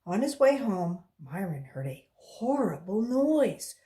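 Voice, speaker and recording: A woman reading a children's story aloud in an expressive, deliberate storytelling way. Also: mid-range voice. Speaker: volunteer storybook reader.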